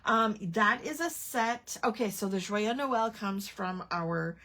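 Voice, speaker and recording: A woman's voice: mid-range voice, slow pace, sharp tone. A woman hosting a casual crafting livestream, rambling and chatting to viewers while she works at home. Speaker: craft channel host.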